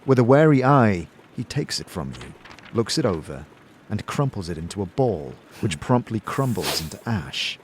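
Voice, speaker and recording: A man's voice: lowish voice, very animated, moderate pace, a little hiss or hum. A man reading scripted, dramatic narration as the narrator of a fantasy audio series.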